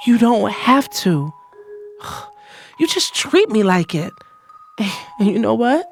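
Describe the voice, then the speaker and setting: A woman's voice: fairly high pitch, big pitch swings, takes it slowly, vocal fry, soft background music, heavily acted. A woman playing a hurt, scorned lover in an audio drama, telling it in first person with emphatic, sarcastic delivery. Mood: anguished. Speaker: narrator and lead character in an audio drama.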